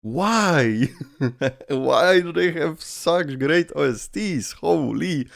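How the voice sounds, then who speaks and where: A man's voice: fairly high pitch, lively intonation, extremely slow, gravelly, dry recording, studio quality. A man hosting a reaction video, giving casual commentary and thinking aloud in an informal way.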